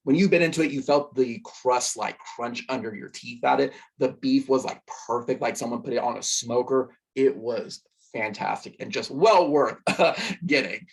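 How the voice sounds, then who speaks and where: A young man with a hoarse voice, casually recapping a football game he attended, rambling with fillers. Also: medium pitch, animated, moderate pace. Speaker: sports podcast host.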